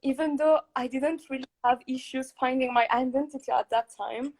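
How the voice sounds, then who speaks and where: This young woman from Morocco hosts a casual chat, her voice pitched well above most women's. She talks over a video call in a friendly, rambling way, with plenty of ums and easy laughter. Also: fairly even intonation, normal speed, darkish tone. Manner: chatty, laughs often, many fillers. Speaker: podcast host.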